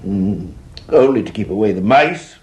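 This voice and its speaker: An actor playing a pompous, worldly English gentleman scheming for a friend in a stage farce. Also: lowish voice, expressive, normal speed, close to an everyday voice. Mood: wry. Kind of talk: vintage stage farce acting.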